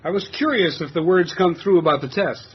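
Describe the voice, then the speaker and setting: An actor playing a mild, civil male scientist and inventor in a radio drama, measured and courteous. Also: sits high, breathy edge. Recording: dull, lo-fi radio drama sound.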